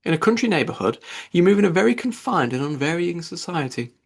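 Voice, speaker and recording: A man voicing a reserved, aloof gentleman in a classic novel audiobook, measured and formal. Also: a little high, very animated, creaky, breathy edge, pitched above the performer's own voice, exaggerated voice.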